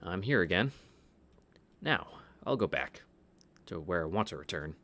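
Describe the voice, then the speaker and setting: Low, lively male streamer reading narration, cracks jokes, music bed. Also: a little slow. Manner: reads narration aloud, jokes and swears. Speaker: streamer reading a visual novel. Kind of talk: casual read-through. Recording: voice over game music.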